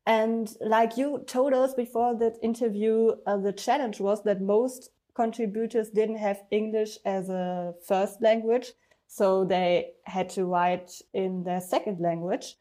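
A woman's voice: mid-range voice, steady tone, measured pace, mellow. A woman hosting a podcast who reads a scripted introduction and then asks her guests questions. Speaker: podcast host.

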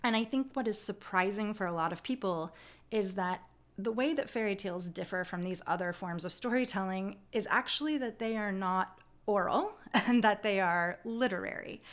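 A woman speaking as an academic interview guest, explaining her field in an articulate, conversational way. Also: medium pitch.

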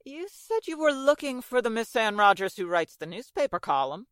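A woman's voice: a little high, very animated, normal speed, fairly bright tone. A woman playing a fussy, proper and polite apartment building manager in an old-style radio drama. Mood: apologetic. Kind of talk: 1940s radio drama acting.

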